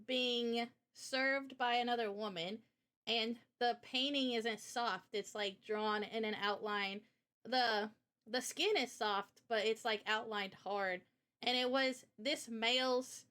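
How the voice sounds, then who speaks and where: Bright, high-voiced woman chatting casually. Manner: informal, rambling storytelling. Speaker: co-host of a live Q&A. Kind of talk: casual chat.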